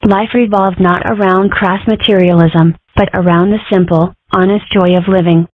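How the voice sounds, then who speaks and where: A woman's voice: on the low side, fairly even intonation, normal speed, dry recording, close to an everyday voice. A woman voicing a melodramatic, wry eight-year-old girl in a comic strip dub. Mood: wistful. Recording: tinny, phone-like audio.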